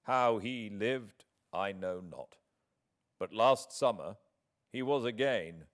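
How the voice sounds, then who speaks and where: A British man reading a classic novel as a proud, wronged gentleman, with formal, measured, deliberate phrasing. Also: low voice. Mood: grave, bitter. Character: proud, wronged English gentleman writing a formal, earnest letter.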